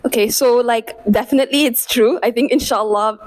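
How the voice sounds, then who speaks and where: A woman's voice: fairly high pitch, fairly quick. A young woman raised in Malaysia talks through her interview answers at an easy pace. She fills her sentences with "like" and "uh". The sound is dry and close, with no room echo. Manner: relaxed, many fillers like "like" and "uh". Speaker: interviewee, medical student.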